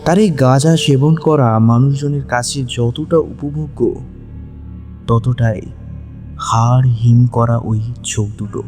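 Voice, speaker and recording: A man narrating a dramatic audio story, with measured, suspenseful phrasing. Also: medium pitch, darkish tone.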